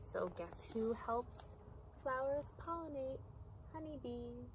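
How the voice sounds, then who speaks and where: A woman's voice: sits high, lively intonation, slow, background noise. A woman teacher reading a picture book aloud to young children, speaking simply and putting prompting questions.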